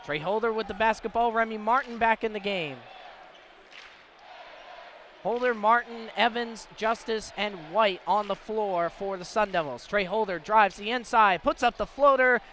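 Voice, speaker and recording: A man's voice: high voice, normal speed, fairly bright tone, a faint crowd. An American man doing live radio play-by-play commentary of a basketball game. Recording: live broadcast with clear background noise. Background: basketball game ambience, bouncing ball.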